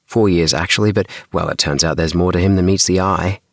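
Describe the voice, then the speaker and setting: A man's voice: low voice, brisk, vocal fry, no room sound, studio quality, pitched above the performer's own voice. Man voicing an earnest, insistent young boy. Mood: earnest, halting. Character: Tim, earnest young boy pleading with parents.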